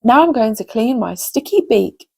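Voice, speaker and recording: A woman's voice: on the high side, lively intonation, moderate pace, gravelly, husky, no echo, full character voice. A woman voicing a big, helpful male thrush who explains things matter-of-factly in a children's storybook. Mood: confident. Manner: matter-of-fact, self-assured.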